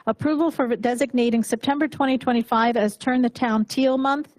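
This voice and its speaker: A woman with a fairly high voice chairs a council meeting, reading the agenda steadily. Her asides are hesitant, full of ums. The sound is dry, with little room in it. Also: fairly even intonation, fairly quick, a little mellow, dry recording, poor audio.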